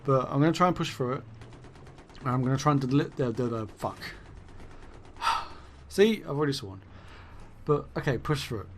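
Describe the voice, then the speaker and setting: Rambling gravelly-voiced man, voice going. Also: mid-range voice, expressive, moderate pace, long pauses, noisy background. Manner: rambling, self-correcting, swears then laughs it off. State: losing his voice. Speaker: gaming YouTuber. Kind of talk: casual commentary monologue.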